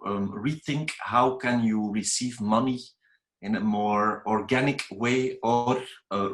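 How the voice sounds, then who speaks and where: Earnest man in an echoey hall. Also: lowish voice. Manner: earnest and critical, occasional restarts. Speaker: conference speaker asking a question. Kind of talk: conference question and comment. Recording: echoey conference room.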